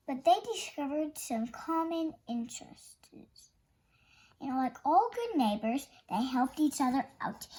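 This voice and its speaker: A young child narrates a storybook in a high, lively voice. The reading is slow and a little halting, with small stumbles that get corrected. The pitch moves up and down expressively. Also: poor audio. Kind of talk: read-aloud story narration.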